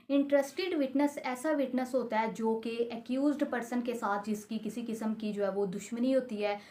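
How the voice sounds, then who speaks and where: A woman lecturer speaks in a fairly high voice with a Pakistani accent. She explains in a didactic style, restating each point and working through examples. English technical terms are mixed into her sentences. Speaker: law lecturer.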